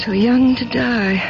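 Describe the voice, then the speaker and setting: Spooked young lady, drawn-out creaky delivery, old radio drama, music underneath. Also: a little high, lo-fi sound, full character voice. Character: awed, impressionable woman of twenty-four hearing ghost legend. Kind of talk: 1970s radio drama acting.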